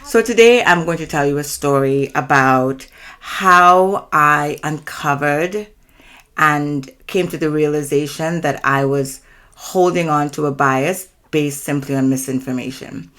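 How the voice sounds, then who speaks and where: A woman in her forties with a Trinidadian accent, hosting her own channel and telling a personal story in a measured, earnest way. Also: low-pitched, a bit bright. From Trinidad and Tobago. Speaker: channel host.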